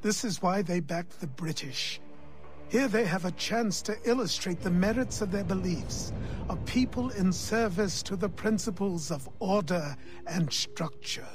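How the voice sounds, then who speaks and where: An actor playing a weary, gruff old mentor in a video game, a curt retired assassin who sighs and lectures. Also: male voice, high-pitched, measured pace, over music. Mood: grave, instructive. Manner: sighing, curt, dismissive, then instructive. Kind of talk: dramatic video game cutscene acting.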